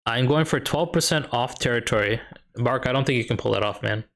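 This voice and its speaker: A man's voice: medium pitch, fairly quick, gravelly, fairly bright tone. Easygoing male streamer chatting with his viewers. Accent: Chicago. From United States. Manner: thinks aloud, reads and answers chat questions. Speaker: streamer and high school math teacher. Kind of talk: casual live-stream chat while gaming.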